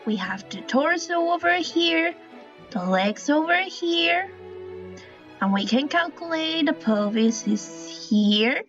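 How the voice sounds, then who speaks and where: A woman's voice: high-pitched, lively intonation, takes it slowly, fairly bright tone. A woman with a Spanish accent, a native Spanish speaker, explains a drawing style in a casual tutorial, hesitant, with many restarts and apologies. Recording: dull lo-fi sound with faint music.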